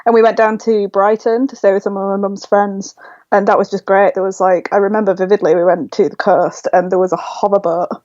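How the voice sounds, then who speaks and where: A woman's voice: mid-range voice, little pitch movement, a little fast, a little mellow, no echo, muffled recording. A woman in her twenties from Leeds with a Yorkshire accent, talking enthusiastically as a podcast guest, full of ums and likes. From Leeds, England. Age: 20s. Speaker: guest, blogger. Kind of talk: casual interview chat.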